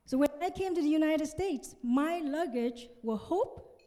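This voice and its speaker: High-voiced Vietnamese-accented woman speaking in a hall. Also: expressive, unhurried, dark tone. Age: 50s. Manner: earnest, addresses the audience with questions. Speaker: motivational keynote speaker. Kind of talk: motivational conference speech with personal story. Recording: reverberant large hall, stage PA.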